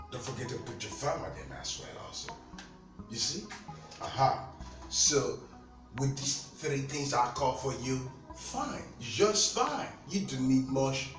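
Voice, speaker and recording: A man with a Nigerian accent talks to camera about skincare, his pitch swinging up and down as he repeats himself. He sounds a little off-mic in a roomy, echoey space. Faint music plays underneath. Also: distant mic. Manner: animated, repetitive, talks straight to viewers. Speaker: skincare seller. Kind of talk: casual product talk to camera.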